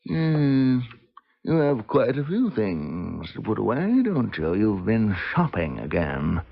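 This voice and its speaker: Stern, low, slow-spoken lawyer. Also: male voice, sing-song, gravelly, highs cut off, heavily acted. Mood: disapproving. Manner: slow, pointed, disdainful. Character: stern, disapproving male family lawyer, dry and suspicious. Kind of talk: 1970s radio drama acting. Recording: band-limited old radio broadcast.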